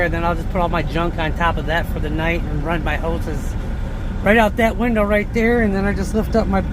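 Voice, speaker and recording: An American truck driver chatting casually and haltingly to viewers in a folksy, rambling way from inside a truck cab. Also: mid-range voice. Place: inside an idling truck cab at a rest area. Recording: loud vehicle engine noise.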